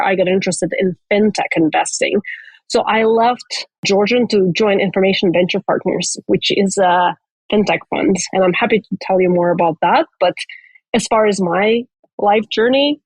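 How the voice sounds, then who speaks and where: A woman's voice: mid-range voice, husky, no echo, low-quality recording. A woman from Russia, a venture investor answering interview questions in an earnest, thoughtful way. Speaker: venture capital investor, guest.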